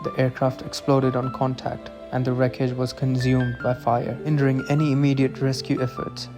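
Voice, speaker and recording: Man narrating in an even, measured tone, over music. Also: medium pitch. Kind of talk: scripted documentary narration.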